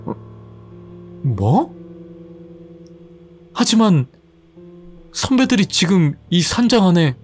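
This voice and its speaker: A woman's voice: on the low side, sing-song, slow, pauses often, a little mellow, faint music behind, voice pushed up. A man voicing a frightened young female college student who pleads and insists. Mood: bewildered.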